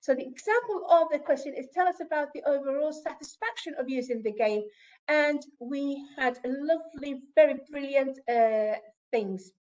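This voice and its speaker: A woman's voice: sits high, lively intonation, moderate pace, darkish tone, muffled recording. A woman whose first language is Arabic, giving an academic conference presentation in English and explaining with asides to the audience.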